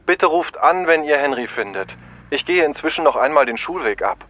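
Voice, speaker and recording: A man's voice: a little high, animated, normal speed, voice pushed up. A man voicing a worried, polite father through a telephone filter in a children's story. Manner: concerned, polite. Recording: muffled telephone-style band.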